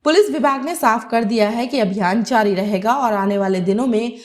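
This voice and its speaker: Female news narrator, fairly high voice, scripted report delivery. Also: normal speed, darkish tone.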